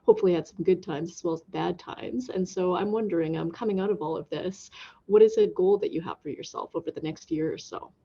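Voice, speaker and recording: A woman's voice: on the low side, fairly quick, dark tone, slightly rough. A woman on a panel video call asking questions in a cheerful way. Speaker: panel guest. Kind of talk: casual panel chat. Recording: slightly dull video-call audio.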